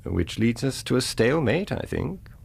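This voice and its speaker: Haughty, swooping aristocratic English rogue. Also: male voice, medium pitch, big pitch swings, moderate pace, vocal fry, breathy edge, heavily acted. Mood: cool, menacing. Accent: upper-class English. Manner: haughty, urbane, theatrical. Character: suave, roguish aristocratic male scoundrel, haughty and brazen. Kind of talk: radio drama acting.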